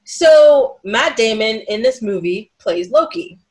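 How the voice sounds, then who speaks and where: A woman co-hosting a podcast, chatting casually about a movie in a rambling, animated way. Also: a little high, takes it slowly. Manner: animated, rambling, frequent "like" and laughter. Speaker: podcast co-host.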